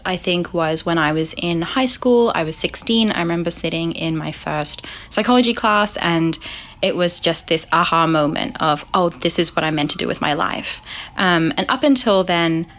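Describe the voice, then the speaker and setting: A woman's voice: lowish voice, fairly bright tone. A young Australian woman, a psychologist, talking reflectively in a casual interview with frequent ums. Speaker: psychologist guest.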